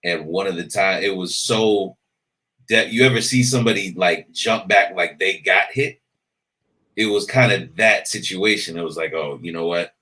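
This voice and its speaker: Deep, bright-toned man talking it through. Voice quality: big booming voice. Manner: thoughtful, measured, talks through scenarios. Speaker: podcast guest. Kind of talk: casual podcast discussion.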